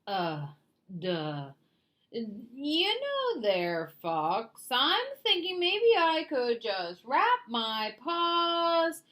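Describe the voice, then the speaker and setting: A woman voicing a big, slow-witted, good-natured bear in a children's storybook, hesitant and dopey. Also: high-pitched, wildly swooping pitch, fairly bright tone, pitched above the performer's own voice, heavily acted.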